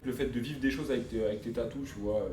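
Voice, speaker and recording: A man's voice: medium pitch, steady tone, quick pace, a little mellow, roomy sound, a little hiss or hum. A man who works as a tattoo artist, talking casually and loosely, rambling and checking in with the listener often. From Montreuil. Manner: loose, rambling, frequent "tu vois". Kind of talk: casual podcast chat.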